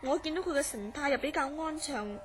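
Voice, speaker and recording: An actress playing a curious, easily astonished woman in a horror radio drama, speaking in an expressive, questioning way. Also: high voice, a little fast, natural voice. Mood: earnest, mysterious. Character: curious, astonished woman recounting a friend's strange dreams. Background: background music.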